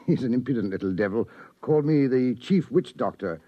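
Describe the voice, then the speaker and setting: A man's voice: medium pitch, expressive, normal speed, dry recording, lightly acted. A man playing an indignant, dignified English medical chairman, formal and pompous, in 1950s radio drama style. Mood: affronted, formal. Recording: muffled vintage 1950s radio recording.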